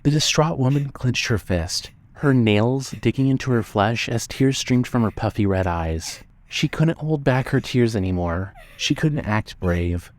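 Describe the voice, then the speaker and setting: A man's voice: mid-range voice, lively intonation, unhurried, hardly any pauses, no room sound. A man narrating a scripted dramatic story as an audiobook-style narrator.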